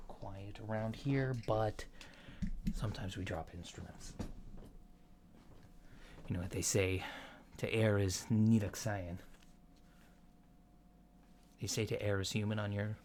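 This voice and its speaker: A man playing a casual, reassuring alien doctor in a soft ASMR roleplay, speaking in a mild, hesitant, matter-of-fact way. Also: on the low side. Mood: quiet, focused. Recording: lo-fi with clear background noise.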